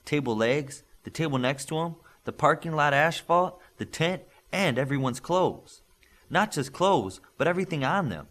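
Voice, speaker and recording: A man reading a superhero story aloud as scripted narration, in an expressive storytelling manner. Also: on the high side, normal speed. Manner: expressive storytelling, acting out dialogue. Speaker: audiobook narrator.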